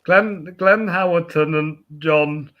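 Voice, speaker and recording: A man hosting a film podcast, chatting casually and haltingly, with false starts along the way. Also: a little high, highs cut off.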